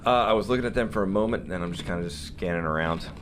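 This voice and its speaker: A man's voice: sits low, very fast. A man playing a tabletop roleplaying game, chatting casually in a blunt, sweary way. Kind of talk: casual, animated role-play chat. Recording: very noisy room recording.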